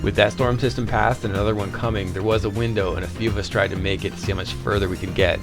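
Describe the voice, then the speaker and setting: A man with a fairly low voice narrates a flying vlog at a quick clip. The delivery is informal voice-over, with music clearly playing underneath. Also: steady tone.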